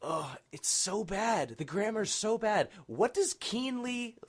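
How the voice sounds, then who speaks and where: A man's voice: high-pitched, very animated, slow, slightly rough. A man hosting a podcast, chatting casually with his guests in an interview. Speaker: podcast host.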